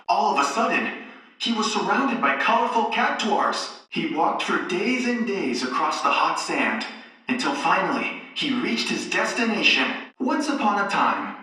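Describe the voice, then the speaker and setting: An actor playing an excitable theme park announcer who tells children's stories with theatrical, showy drama. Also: male voice, sits high, heavy reverb, far from the mic, heavily acted, poor audio.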